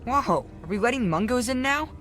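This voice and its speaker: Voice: mid-range voice, lively intonation, fairly quick, close to an everyday voice. A performer playing a cocky, chatty child trader in a video game, brash, slangy and casual. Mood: brash, curious. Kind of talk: video game character acting.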